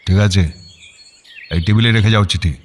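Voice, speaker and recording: A man's voice: lowish voice, fairly even intonation, normal speed, a little hiss or hum, natural voice. A man playing a curt, suspicious householder in a radio drama. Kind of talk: broadcast radio drama acting.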